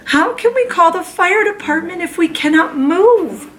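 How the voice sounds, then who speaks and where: A shrill, panicky storybook wife cries out in high, loud bursts, as a woman reading aloud puts her on. Her voice leaps up and down with alarm, bright and sharp. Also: expressive, a little slow, slight background noise, full character voice. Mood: protesting.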